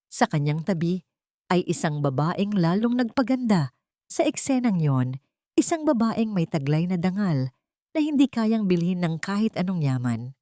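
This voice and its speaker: A Filipino woman narrating a scripted drama story in a deliberate, dramatic manner. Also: lowish voice, darkish tone, no echo, studio quality.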